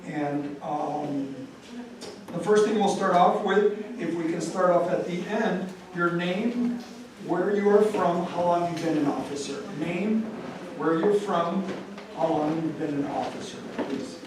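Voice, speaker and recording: A man's voice: sits high, measured pace. A man informally moderating a community panel at a public meeting, giving plain instructions and repeating his questions. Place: large echoey room at a public meeting. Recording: very echoey hall, distant mic, crowd noise.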